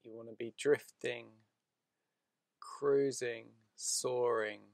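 A man guides a meditation at a very slow pace. His voice has a gravelly creak, and he speaks soothingly in short phrases with long pauses. The recording is close and dry. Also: mid-range voice, steady tone, darkish tone, no echo.

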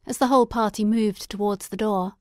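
A woman's voice: mid-range voice, steady tone, a little fast, no echo, studio quality. A woman reading scripted literary narration as an audiobook narrator.

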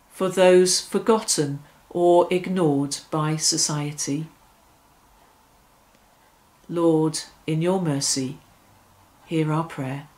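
Slow-paced middle-aged woman leading prayer. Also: fairly low pitch, darkish tone, poor audio. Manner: measured, reverent, with deliberate phrasing. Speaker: church worship leader. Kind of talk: devotional reflection and led prayer. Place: small furnished room indoors.

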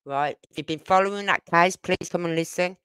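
A woman's voice: fairly low pitch, fairly quick, a bit bright, smooth, dry recording. A woman with a British accent hosting a casual true-crime livestream, chatting in a rambling, informal way. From United Kingdom. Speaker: livestream host.

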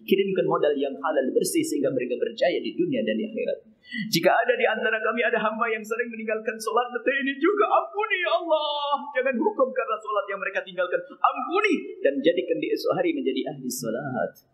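A middle-aged man leading an impassioned Friday sermon prayer, pleading fervently and swinging from low murmurs to shouted cries, recorded on a headset mic at a mosque pulpit. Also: expressive, fast, dull audio. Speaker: Friday preacher.

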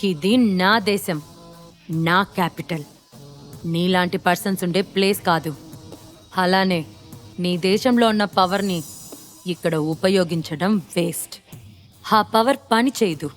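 A woman's voice: a little high, expressive, drawn-out words, pauses often, soft background music, voice pushed up. A woman voicing an angry, defiant man in an audio story, stern and contemptuous as he defends his territory. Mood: stern anger.

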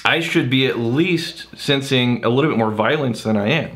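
A man's voice: mid-range voice, normal speed, a bit bright. A man in his thirties co-hosting a whiskey tasting, speaking in a dry, hesitant, skeptical way in a home bar. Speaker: co-host. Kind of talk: casual tasting chat. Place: home bar room lined with bottle shelves.

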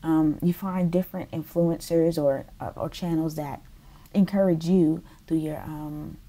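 Young woman, american, very dark mellow tone, low-ish voice, podcast host, earbud mic. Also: steady tone, a little slow. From United States. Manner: upbeat, searching for words. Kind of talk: podcast interview hosting. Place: home room. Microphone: earbud headset mic on a video call.

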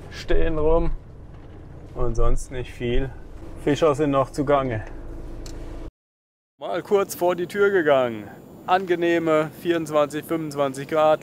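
A man's voice: a little high, darkish tone. A man giving casual, laid-back travel commentary with dry, colloquial wit. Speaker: travel vlogger. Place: on the move, in a vehicle and outdoors. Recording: clear outdoor and vehicle noise.

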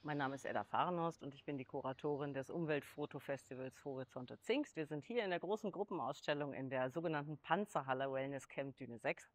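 A woman's voice: low voice, no echo. Composed middle-aged woman curator. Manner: composed, explanatory. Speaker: festival curator. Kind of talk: explanatory interview talk. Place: indoors, in an exhibition hall.

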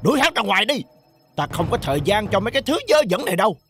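A man's voice: high voice, big pitch swings, racing. A man voicing an imperious, scornful old villain boss in a cartoon dub, with a theatrical, haughty delivery. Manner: haughty, commanding. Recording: loud music under the voice.

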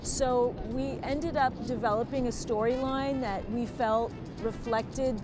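A woman's voice: a little high, steady tone. Thoughtful older woman filmmaker. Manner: thoughtful, measured explaining. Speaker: documentary filmmaker. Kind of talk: reflective interview answers. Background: background music.

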